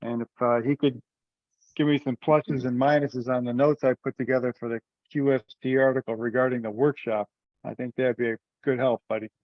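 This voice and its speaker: Male meeting chair, mid pitch, informal and halting, heard over dull, close-sounding conference audio. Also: fairly even intonation, no echo. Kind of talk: informal group teleconference talk. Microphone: teleconference call.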